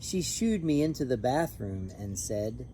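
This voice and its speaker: A man reading a children's picture book aloud in an expressive, animated, emphatic way. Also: mid-range voice, unhurried, a little hiss or hum. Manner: animated, emphatic on repeated refrain. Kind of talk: expressive storybook read-aloud with brief asides.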